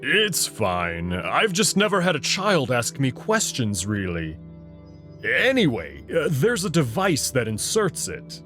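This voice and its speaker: An awkward male alien wolf from a story game, acted out by a man. He is evasive and halting, speaks without hurry and swings in pitch, over faint music. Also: wildly swooping pitch, fairly bright tone, full character voice. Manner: hesitant, halting.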